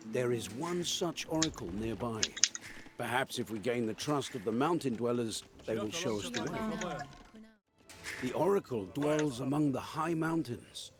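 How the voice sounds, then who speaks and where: An actor playing a stern, calculating Persian commander in a video game, with a formal, commanding manner. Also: male voice, medium pitch, lightly acted. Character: stern, calculating Persian military commander, loyal to his king. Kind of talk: theatrical video game voice acting. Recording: loud music behind the voice. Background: loud music.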